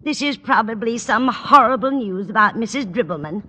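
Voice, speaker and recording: A woman's voice: a little high, animated, moderate pace, sharp tone. A woman playing an anxious, melodramatic older landlady in a 1940s radio comedy. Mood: alarmed. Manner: fretful, melodramatic. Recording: old radio recording, hall reverb, faint noise.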